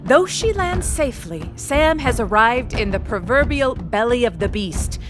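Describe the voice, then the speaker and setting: A woman's voice: fairly high pitch, very animated, measured pace, over music. A woman performing as the playful, knowing narrator of a scripted audio drama. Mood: wry.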